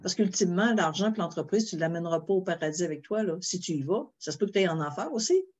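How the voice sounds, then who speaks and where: A woman's voice: lowish voice, lively intonation, fast talker, slightly rough. A woman host with a Québécois accent, interviewing a guest in a chatty way with frequent fillers. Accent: Québécois French. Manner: warm-hearted, chatty, many fillers. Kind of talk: interview chat.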